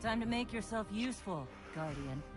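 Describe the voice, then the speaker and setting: An actress playing a confident, wry woman pilot who leads survivors in a video game cutscene, with a brisk, commanding manner. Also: medium pitch, lively intonation, loud music behind the voice, natural voice.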